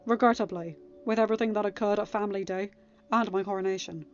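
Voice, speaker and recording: A woman's voice: medium pitch, steady tone, muffled recording, faint music behind, close to an everyday voice. A woman voicing an earnest young king who speaks formally and diplomatically in a storybook reading. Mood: apologetic. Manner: formal, measured.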